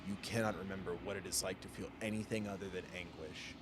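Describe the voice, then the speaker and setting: A man's voice: low-pitched, fairly even intonation, quick pace, heavy background noise. A man doing live gameplay commentary, thinking aloud playfully and reading text from the game. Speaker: game streamer. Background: game music.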